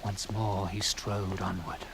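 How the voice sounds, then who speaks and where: A man reading a classic literary story in a dramatic first-person style, with theatrical, deliberate phrasing and swelling emphasis. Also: sits low, fairly even intonation. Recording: clearly noisy with background ambience.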